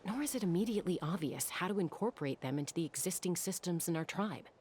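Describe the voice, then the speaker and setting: A woman's voice: on the low side, expressive, quick pace, darkish tone, a little hiss or hum, close to an everyday voice. A woman voicing a cool-headed, analytical female warrior in an anime game, serious and matter-of-fact. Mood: reasoned.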